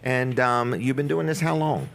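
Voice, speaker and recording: A man's voice: mid-range voice, normal speed, vocal fry, slight background noise. A man hosting a local talk show, asking rambling, joking questions in a casual interview.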